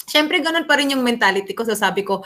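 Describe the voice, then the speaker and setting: A woman's voice: fairly high pitch, rapid-fire. A woman with a Filipino accent, a teacher, talking animatedly and laughing often in a class discussion over a video call. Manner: animated, laughing, mixes Tagalog and English. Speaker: teacher and graduate student.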